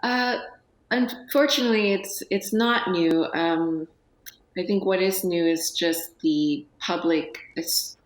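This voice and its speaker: A woman in her forties, a guest on a TV talk show, speaking softly and hesitantly with many pauses and fillers. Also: lowish voice, reverberant, poor audio. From United States. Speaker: guest, business owner. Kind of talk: TV interview. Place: TV studio.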